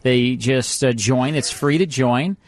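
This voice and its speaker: A man's voice: mid-range voice, takes it slowly, no room sound. A man hosting a radio program conversationally, often repeating words as he goes. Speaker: radio host.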